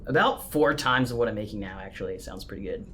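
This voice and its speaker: A man playing an easygoing, money-minded roughneck crewman in a tabletop game. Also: on the low side, lively intonation, fast talker, pushed and bright, slight background noise. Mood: laid-back. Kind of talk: improvised tabletop roleplay acting.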